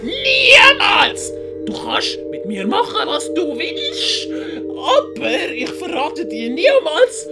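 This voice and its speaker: A woman voicing an indignant, stingy but soft-hearted old man in an audiobook, with a Swiss German accent. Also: very high-pitched, huge pitch swings, slow pace, music in the background, pitched above the performer's own voice, exaggerated voice. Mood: defiant. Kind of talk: audiobook character acting.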